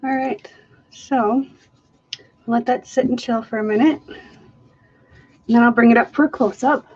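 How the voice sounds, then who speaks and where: A woman's voice: on the high side, steady tone, measured pace, darkish tone, husky, lo-fi sound. A woman hosting a live fluid art stream, chatting casually and joking at her own expense. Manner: chatty, self-mocking, laughs often. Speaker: fluid art livestream host. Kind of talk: casual live demo chat. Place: art studio, camera overhead on the table.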